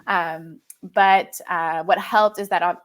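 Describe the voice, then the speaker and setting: A woman in her late twenties from Quebec, a native French speaker, chatting as a podcast guest in a relaxed way with lots of fillers. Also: fairly low pitch, slow. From Quebec, Canada. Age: 20s. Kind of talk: casual conversation.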